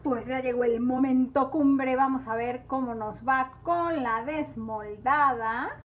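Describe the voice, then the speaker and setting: High-voiced woman hosting a home cooking show. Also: takes it slowly, narrow, tinny audio. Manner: chatty, talks viewers through each step. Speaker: cooking channel host. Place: home kitchen.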